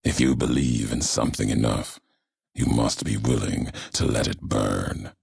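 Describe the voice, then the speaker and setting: An actor playing a grave, brooding, vengeful wasteland courier in a video game, speaking in clipped, solemn, philosophical monologue with a gravelly, husky voice. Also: male voice, a bass voice, fairly even intonation, measured pace, darkish tone, no echo, clean studio sound, full character voice. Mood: solemn, cryptic. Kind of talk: video game voice acting, slow portentous monologue.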